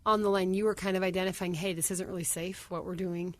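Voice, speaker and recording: A woman's voice: lowish voice, steady tone, fast talker, dry recording. A woman co-hosting a podcast who talks casually and thinks aloud while she responds to a guest. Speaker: podcast co-host. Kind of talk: casual interview talk.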